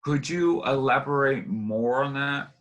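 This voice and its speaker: A man's voice: mid-range voice, a little slow, a bit bright, muffled recording. A man hosting an interview, phrasing his thoughts in a wordy, roundabout way. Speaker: interview host.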